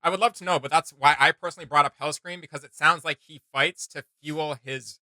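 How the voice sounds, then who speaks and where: A man's voice: a little high, fairly even intonation, brisk, pushed and bright, no room sound. A man hosting a reaction video, thinking aloud in casual, rambling commentary.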